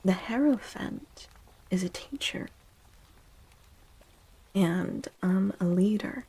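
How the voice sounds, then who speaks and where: A woman hosting a soft-spoken ASMR tarot stream, talking gently and breathily, often near a whisper, with drawn-out delivery. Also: medium pitch, breathy edge, poor audio. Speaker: livestream host giving tarot readings.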